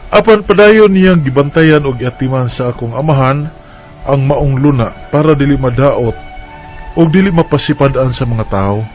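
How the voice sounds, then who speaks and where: A man's voice: mid-range voice, darkish tone, close to an everyday voice. An actor playing a devoted, grateful young adult son who tells his story in a Bisaya radio drama, with a Filipino Bisaya accent.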